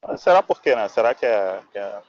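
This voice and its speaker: A man's voice: on the low side, expressive, brisk, a little hiss or hum. A man hosting a casual interview, agreeable and fond of short replies and tag questions. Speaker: host. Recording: dull lo-fi sound.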